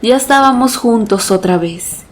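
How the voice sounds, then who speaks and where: A woman reading a scripted first-person horror story as its narrator, in a measured storytelling delivery. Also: fairly high pitch.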